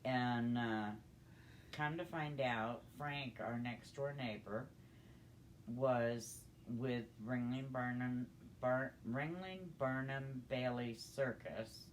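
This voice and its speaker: An older woman telling a personal story in a conversational, halting, unhurried way. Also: a bass voice, slight background noise. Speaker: storyteller grandmother.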